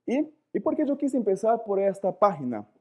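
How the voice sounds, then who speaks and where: A man with a Portuguese accent speaks to a class, his voice a little higher than most men's. He talks with animated energy, throwing out rhetorical questions and pausing now and then to find the right word. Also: husky. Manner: animated, searching for words, frequent rhetorical questions. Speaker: lecturer. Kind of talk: live lecture to students.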